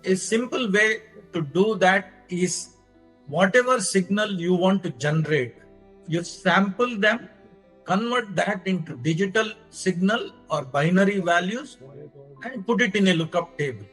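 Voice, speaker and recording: An Indian-accented man delivers a technical lecture in a fairly high voice. He speaks slowly and deliberately, laying out each step in short phrases. Faint music sits in the recording. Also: lively intonation, fairly bright tone, breathy edge, rough recording. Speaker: lecturer presenting own engineering research.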